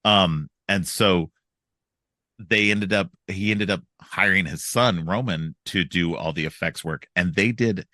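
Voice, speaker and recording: Low-voiced man, bright forceful tone, congested from a cold, rambling podcast host, dry close sound. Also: a little slow, no echo. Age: middle-aged. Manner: rambling, frequent restarts and ums. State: stuffed up from a cold. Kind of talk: casual film discussion.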